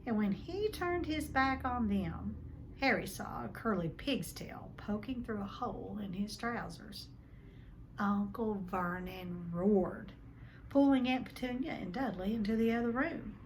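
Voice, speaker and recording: A woman's voice: mid-range voice, animated, a little slow, background noise. A woman reading a storybook aloud expressively, like a teacher addressing her listeners. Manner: addresses listeners, dramatizes the text. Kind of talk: expressive storybook read-along.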